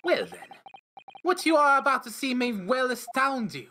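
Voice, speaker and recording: A woman's voice: high-pitched, expressive, normal speed, pushed and bright, a little hiss or hum. A woman voicing a grandiose, self-important male detective in a fan dub of a game, with game music behind. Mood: pompous. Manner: theatrical, self-important, long-winded.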